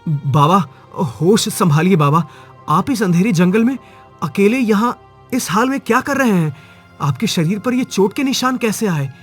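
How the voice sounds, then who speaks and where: A man's voice: high voice, animated, measured pace, fairly bright tone, slightly rough, faint music behind, pitched above the performer's own voice, heavily acted. A man voicing a kind, selfless village husband in a cartoon moral story, earnest and emphatic. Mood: concerned.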